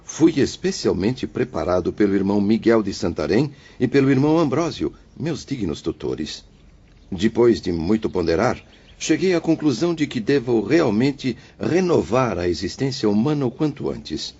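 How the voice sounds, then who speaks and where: Man acting as penitent male spirit, declamatory, very expressive intonation, dull recording. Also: lowish voice, moderate pace, husky, heavily acted. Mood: grave, determined. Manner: grave, formal, declamatory. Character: solemn, remorseful male spirit of a former suicide, preparing for rebirth. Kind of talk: dramatized audiobook acting. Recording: dull lo-fi audio with music behind.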